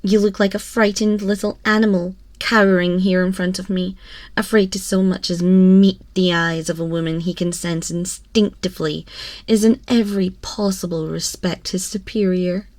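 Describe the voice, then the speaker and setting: A woman's voice: mid-range voice, steady tone, normal speed, a little mellow, no echo, lightly acted. A woman playing a haughty, mocking empress in an ASMR roleplay, with imperious, condescending and theatrically formal delivery, close on a binaural microphone. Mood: mocking, probing. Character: haughty, cruel, mocking empress toying with a prisoner. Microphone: close binaural microphone, dry.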